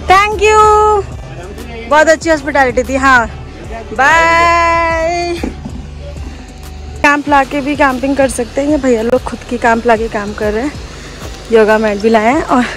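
Young pahadi woman vlogging on a phone, high chatty voice, music under it. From Uttarakhand hills. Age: young adult. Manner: chatty, teasing, recommends places to viewers. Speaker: travel vlogger. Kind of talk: casual travel vlog chat. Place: outdoors in hills and a roadside eatery.

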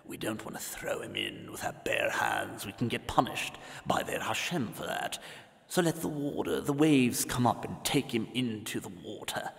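A man voicing a cruel, scheming Egyptian king who talks low, hushed and calculating. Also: unhurried, gravelly, in a large hall. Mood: scheming whisper. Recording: music playing behind.

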